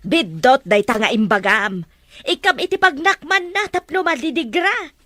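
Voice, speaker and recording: A woman's voice: high-pitched, animated, normal speed, sharp tone, no room sound, clean studio sound. A woman playing a protective, disapproving mother in a drama, with a firm, scolding manner. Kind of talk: Ilocano radio-style drama acting.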